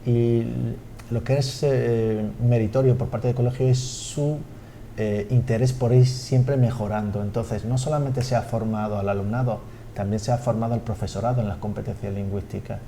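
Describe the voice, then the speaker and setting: A man, a language school director interviewed on TV, speaking haltingly with repeated words and fillers. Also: mid-range voice, fairly even intonation, dark tone, a little hiss or hum. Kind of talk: TV studio interview.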